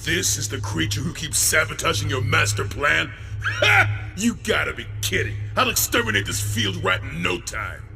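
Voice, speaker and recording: A man's voice: a little high, big pitch swings, moderate pace, creaky. A man voicing a brash, hot-headed mutant bandicoot henchman in a video game, boastful and aggressive. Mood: scornful boasting. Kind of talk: video game cutscene acting. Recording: distant, reverberant, under loud music.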